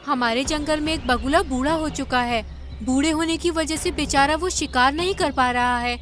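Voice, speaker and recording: Woman as high-voiced, earnest little bird. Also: normal speed, fairly bright tone. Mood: challenging. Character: kind, inquisitive young female sparrow.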